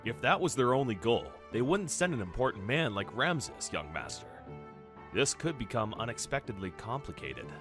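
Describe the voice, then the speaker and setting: A man playing a grave, loyal first officer in a fan radio play, speaking in a measured, formal way. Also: mid-range voice, sing-song, close to an everyday voice. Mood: grave briefing. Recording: music behind the voice.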